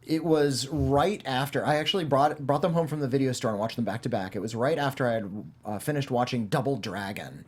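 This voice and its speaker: A man's voice: medium pitch, a little fast, hardly any pauses, a little mellow, husky. A man chatting casually on a film review panel, wry and critical in his opinions.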